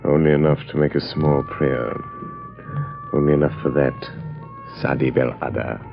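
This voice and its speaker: An actor playing a suave, thoughtful amateur sleuth, measured and urbane, in 1940s radio drama style. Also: male voice, rumbling low, fairly even intonation, gravelly, over music. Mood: wry, guarded. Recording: dull old radio recording.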